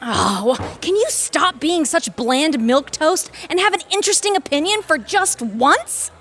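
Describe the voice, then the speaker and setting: A woman's voice: high voice, animated, unhurried, a bit bright, faint music behind. A woman playing an arrogant, calculating lawyer in an audio drama, articulate, condescending and self-assured. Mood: condescending, coolly amused. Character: arrogant, calculating Harvard-trained female lawyer.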